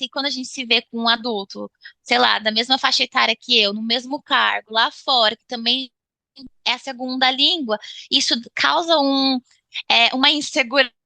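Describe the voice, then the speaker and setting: A Brazilian woman speaking Portuguese with a Brazilian accent, giving a casual interview in a halting way, repeating words as she searches for phrasing. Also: sits high, creaky, pushed and bright, dry recording. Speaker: interview guest, English student.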